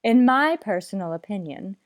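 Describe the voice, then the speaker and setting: A genteel literary society matron from an old radio comedy, played by a woman, effusive and very proper. Her voice is mid-pitched and recorded dry and close. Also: lively intonation, normal speed, no room sound, lightly acted. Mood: earnest.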